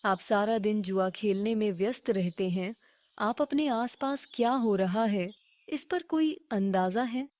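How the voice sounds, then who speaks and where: A woman's voice: medium pitch, a little slow, darkish tone, no echo, natural voice. A woman playing an exasperated, scheming wife in a cartoon story, reproachful and urging.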